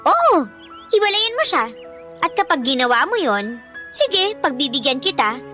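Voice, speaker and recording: A woman's voice: sits high, very animated, a little slow, sharp tone, faint music behind, exaggerated voice. A woman playing a mean, haughty teenage schoolgirl bully in a radio drama, taunting and sneering theatrically with a Filipino accent. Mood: sneering, demanding.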